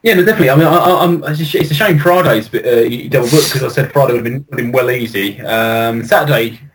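A man's voice: medium pitch, quick pace, a little hiss or hum. A British man chatting casually as a podcast co-host, hesitant and often stammering over repeated words. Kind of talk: casual chat.

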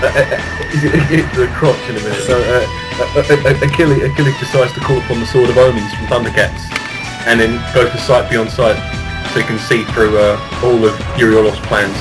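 Male podcast host, mid pitch, amused and hesitant mock-epic improviser, music bed behind. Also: fairly even intonation, a little fast, poor audio. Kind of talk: improvised comic banter.

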